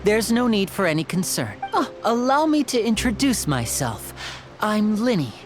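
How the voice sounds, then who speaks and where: A suave, courteous young man from a video game, voiced by a woman. His delivery is animated and politely showy. Soft music plays faintly behind. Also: medium pitch, normal speed, close to an everyday voice. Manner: smooth, polite, showman-like.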